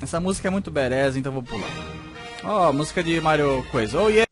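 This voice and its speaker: A man's voice: a little high, expressive, quick pace, music in the background. A man in his twenties with a Brazilian Portuguese accent, casually reading letters aloud and commenting in an informal, stop-and-start way. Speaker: YouTube host reading fan mail. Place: small home room with green screen, indoors. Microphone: desk microphone with foam cover, close.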